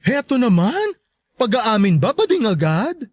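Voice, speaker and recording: A woman playing a sharp-tongued, joking best friend in a Filipino radio drama, campy and teasing. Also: a little high, big pitch swings, measured pace, no room sound. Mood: playful, teasing.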